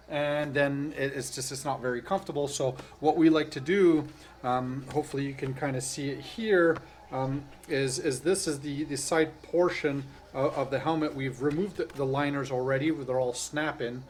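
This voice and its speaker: A man hosting a casual how-to tutorial, explaining step by step in a loose, hesitant way and often correcting himself. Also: medium pitch. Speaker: host of a tutorial channel. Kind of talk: casual hands-on tutorial narration.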